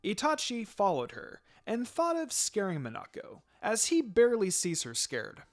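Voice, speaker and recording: Animated, higher-pitched man narrating a story. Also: normal speed, faint music behind. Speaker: fan fiction reader. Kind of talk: dramatic storybook narration of fan fiction.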